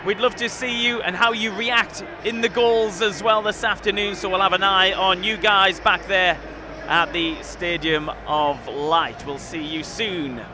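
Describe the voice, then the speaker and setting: Man, live show host, high voice, lively, asks questions, loud outdoor noise. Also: a little slow. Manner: lively, leading the talk with questions. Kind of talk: live broadcast presenting. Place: outdoors at a stadium.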